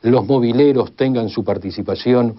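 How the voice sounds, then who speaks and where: Argentine man explaining history formally, long lists, mid pitch, slightly muffled. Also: normal speed. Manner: formal, builds long enumerations. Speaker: expert commentator on radio history. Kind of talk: measured spoken exposition. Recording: slightly dull, band-limited sound.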